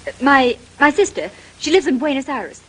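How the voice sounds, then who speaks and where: A woman's voice: sits high, big pitch swings, normal speed. An actress playing a spoiled, petulant rich girl of nineteen in a 1930s radio mystery. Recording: noisy old radio drama recording.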